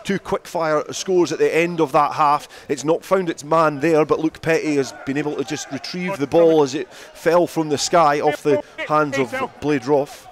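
Man, live play-by-play rugby commentary, slightly raised pitch, outdoors. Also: fairly even intonation, moderate pace, few pauses.

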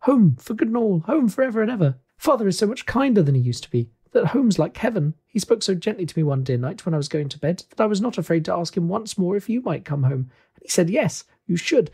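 A man's voice: sits high, sing-song, fast, dark tone, slightly rough, pitched above the performer's own voice, exaggerated voice. A man voicing a tiny, affectionate little girl who is gleeful and eager, in a storybook reading. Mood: gleeful, loving.

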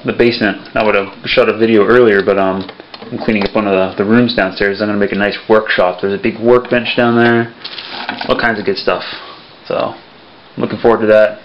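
A young man casually showing off electronics bits at his home workbench, rambling with lots of fillers, recorded on the camera's own mic. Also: lowish voice. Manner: relaxed, rambling, many fillers. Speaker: electronics hobby vlogger. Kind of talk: casual show-and-tell vlog. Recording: dull lo-fi camera audio with faint hiss.

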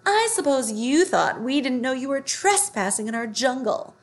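A woman's voice: high-pitched, sing-song, voice pushed up. Woman voicing a taunting, hungry storybook tiger. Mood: menacing, taunting. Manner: taunting, emphatic, drawn-out words. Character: pack of hungry, menacing talking male tigers. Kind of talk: children's storybook read-aloud.

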